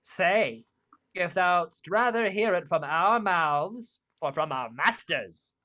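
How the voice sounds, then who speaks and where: A woman playing an eerie witch in a radio play of Shakespeare, chanting verse slowly. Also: on the low side, animated, a bit bright, lo-fi sound. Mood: commanding, ominous. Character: eerie witch brewing a potion.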